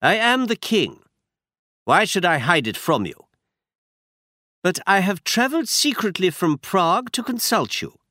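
A man's voice: high voice, big pitch swings, unhurried, pauses often, bright, forceful tone, no echo, studio quality, pitched above the performer's own voice, exaggerated voice. A man putting on a stiff, anxious German-accented nobleman. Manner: formal, stiff, secretive. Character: foreign nobleman, large, masked, anxious.

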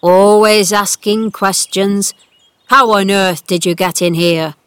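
An old gardener from a storybook, acted out by a woman, gruff on the surface and tender underneath. He speaks slowly and emphatically, full of feeling. The sound is close and dry. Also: medium pitch, expressive, fairly bright tone, dry recording. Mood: cross, accusing. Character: cross old male gardener, gruff but tender-hearted.

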